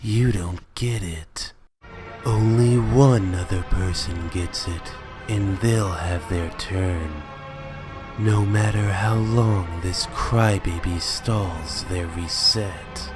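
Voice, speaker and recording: A man voicing a spiteful, sneering talking flower villain in a comic dub, with mocking, drawn-out taunts. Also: deep voice. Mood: scornful. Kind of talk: fan comic dub acting. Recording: loud music under the voice. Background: loud music.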